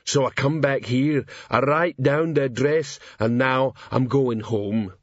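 A man's voice: medium pitch, slow, no echo. A man plays a big-hearted lump of a storybook troll on the police force, simple and stoical. He plods through his report in clumsy grammar, in a changed timbre, with a slightly dull recorded sound. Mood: stolid. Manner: stolid, ungrammatical, plodding delivery.

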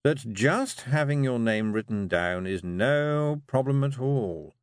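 A man voicing a toad who was once a lawyer, speaking in a slow, precise way. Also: medium pitch, expressive, dry recording, studio quality, pitched above the performer's own voice. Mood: patient.

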